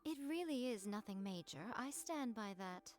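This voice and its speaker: A woman's voice: fairly high pitch, lively intonation, moderate pace, dry recording, faint music behind, close to an everyday voice. A woman playing a sly, teasing, elegant fox shrine maiden in a video game, composed and playful. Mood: dismissive, amused.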